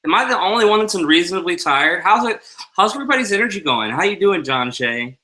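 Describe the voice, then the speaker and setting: A man co-hosting an online talk show speaks in a very bright, cutting tone. He rambles and jokes loosely and sounds tired. He is talking into a headset over a video call from home. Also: sits high, animated, quick pace. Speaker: co-host. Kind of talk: casual live-stream chat.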